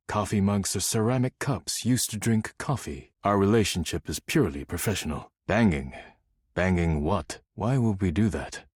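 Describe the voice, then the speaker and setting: A male actor playing a stern, proud, easily offended dishware character in a video game, speaking formally and curtly with solemn deadpan. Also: sits low, breathy edge, no echo, clean studio sound. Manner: formal, curt, deadpan, solemn, avoids contractions.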